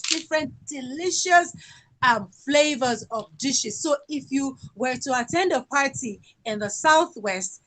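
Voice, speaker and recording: Slow-speaking Nigerian woman. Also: a little high, slight background noise, poor audio. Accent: Nigerian (Yoruba). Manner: sings folk songs, speaks slowly and warmly with fillers. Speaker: cultural presenter. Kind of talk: talk sharing songs and culture.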